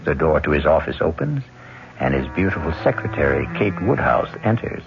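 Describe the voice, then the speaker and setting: A man hosting a radio mystery, giving dramatic scripted narration in a deliberate, theatrical way. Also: a bass voice, very animated, gravelly. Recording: muffled, very noisy old radio broadcast.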